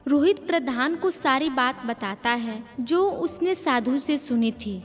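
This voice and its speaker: Female story narrator, scripted delivery, very high pitch, reverberant sound over background music. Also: a little slow. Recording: long reverb, music behind.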